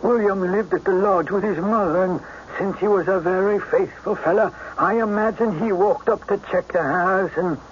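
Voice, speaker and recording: An eager, respectful police inspector, acted by a man reports facts in a high-pitched voice. The radio drama sound is dull, with faint hiss. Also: normal speed, close to an everyday voice. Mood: earnest. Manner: eager, factual, deferential. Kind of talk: radio mystery drama acting. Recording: muffled, hissy old radio recording.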